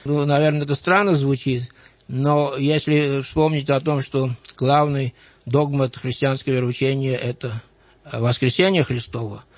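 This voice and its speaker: A man in his sixties from Georgia with a light Georgian accent, a writer recalling his life in an interview, measured and thoughtful with frequent hesitant fillers. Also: mid-range voice, steady tone, dry recording. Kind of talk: reflective interview recollection.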